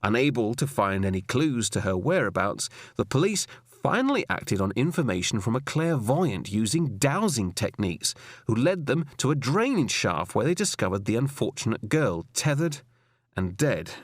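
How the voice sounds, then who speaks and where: A man's voice: mid-range voice, big pitch swings, moderate pace, no room sound, clean studio sound. A man with a British accent reading a crime novel as an audiobook narrator in a scripted storytelling style.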